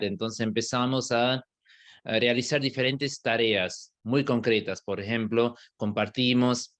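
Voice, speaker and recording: A man, an imam, with a foreign accent partly shaped by Argentine Spanish, speaking in a measured, thoughtful way with frequent hesitation sounds and restarts as he recounts a personal experience. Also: mid-range voice, fairly bright tone. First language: not Spanish.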